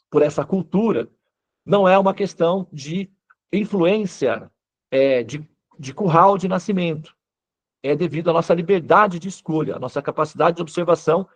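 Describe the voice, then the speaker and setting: Brazilian guy teaching spiritual stuff, slightly high voice, explains things informally, keeps tossing in little check-in questions. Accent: Brazilian Portuguese. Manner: explanatory, frequent tag questions to listeners.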